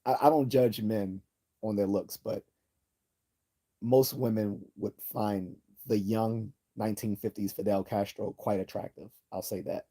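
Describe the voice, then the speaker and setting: A man hosts a casual livestream, reading viewer comments aloud and chatting between them. His voice sits low, with a very dark, husky tone. He rambles with many restarts and stops to clear his throat. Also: fairly even intonation, unhurried. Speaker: livestream host.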